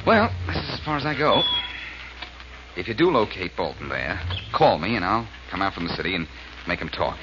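An actor playing a wry, confident amateur sleuth in 1940s radio style, with a bantering manner. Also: male voice, low-pitched, sing-song, fairly quick. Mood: earnest. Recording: muffled, noisy old radio recording.

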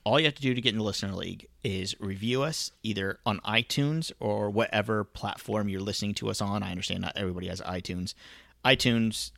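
A man's voice: lowish voice, fast, creaky, fairly bright tone, no echo. A man co-hosting a casual sports podcast in a laid-back way, laughing easily as he reads through lists of names. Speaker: podcast co-host.

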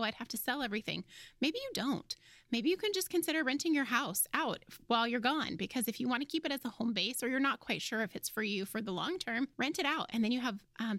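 A woman's voice: fairly high pitch, a bit bright, no room sound. An American woman hosting a podcast, talking in a casual, chatty and informal way. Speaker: podcast host.

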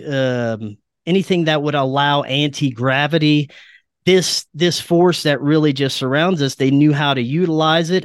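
A man's voice: a little high, clean tone, no echo, clean studio sound. A man speaking as a podcast guest, explaining his views conversationally and sometimes searching for words.

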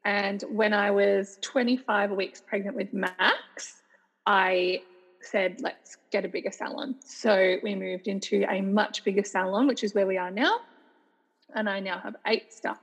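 Chatty husky Australian woman. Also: medium pitch, steady tone, low-quality recording. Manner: chatty, laughs often, quick restarts. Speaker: guest, salon owner. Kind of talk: casual podcast interview.

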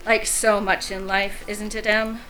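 Betrayed wife, middle-aged, arch, pointed, crisp bright tone, audio drama, faint music. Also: female voice, mid-range voice, little pitch movement, measured pace, poor audio. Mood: hostly, pointed. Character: pointed, chatty woman, a betrayed wife coolly needling her friend.

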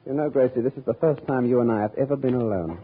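An American actor playing a bashful, odd, lovesick suitor in a 1940s radio comedy. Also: male voice, mid-range voice, fast talker, lightly acted. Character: bashful, odd, lovesick suitor full of silly non sequiturs. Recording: noisy old radio, phone-like, audience behind.

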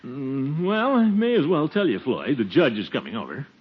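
A man playing a pompous, blustering but soft-hearted middle-aged uncle in a 1940s radio comedy, with a chuckling, swooping delivery. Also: medium pitch, very animated, moderate pace, husky. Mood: flustered. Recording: muffled, noisy 1940s radio recording.

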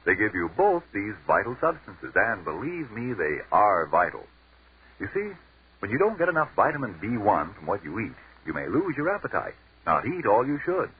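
A man's voice: on the low side, huge pitch swings, moderate pace, husky. A man announcing and reading a commercial in a persuasive, emphatic 1940s radio style. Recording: tinny old radio broadcast.

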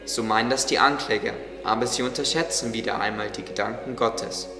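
A man playing a calm, caring gardener in a radio play, speaking with solemn, reassuring patience. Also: mid-range voice, fairly quick, lightly acted. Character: calm, caring male gardener, gently guiding. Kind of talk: German religious audio drama acting. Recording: echoey hall with music underneath.

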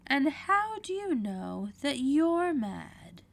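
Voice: sits high, sing-song, takes it slowly, gravelly, fairly bright tone, pitched above the performer's own voice, heavily acted. A narrator voicing an earnest, prim and inquisitive young girl in a storybook reading. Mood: doubtful, questioning.

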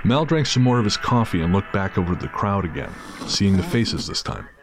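Very deep-voiced male narrator. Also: sing-song, brisk, over music. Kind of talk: scripted dramatic narration.

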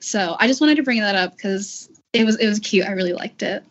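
A woman with a mid-range voice talks casually as a guest in an interview. She speaks conversationally about acting. The sound is muffled, low-fidelity call audio. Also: a little fast. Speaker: voice actress guest.

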